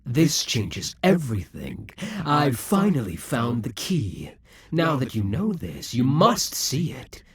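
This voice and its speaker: A man's voice: mid-range voice, huge pitch swings, slow pace, slightly rough, dry recording, heavily acted. Brief: male actor as a scheming demon king for an audio roleplay, dry close sound, taunting and possessive. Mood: seductive menace. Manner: taunting, possessive, drops to whispers.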